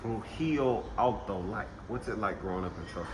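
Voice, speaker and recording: A man's voice: fairly low pitch, animated, normal speed, slight background noise. A man from Texas hosting a casual interview, talking in a laid-back, slangy way. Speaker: host. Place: indoors in Puerto Rico.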